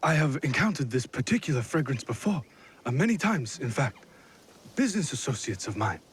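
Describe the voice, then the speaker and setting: An actor playing an uneasy feudal lord in a video game drama, husky-voiced, polite, hesitant and defensive. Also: male voice, mid-range voice, very animated, brisk.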